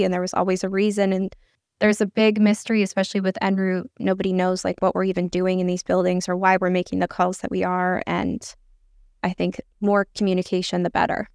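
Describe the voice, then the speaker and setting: A woman's voice: mid-range voice, creaky, a little mellow, no echo, studio quality. A woman giving candid, informal explanations as an interview guest. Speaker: podcast guest, air traffic controller and pilot. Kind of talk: conversational interview answers.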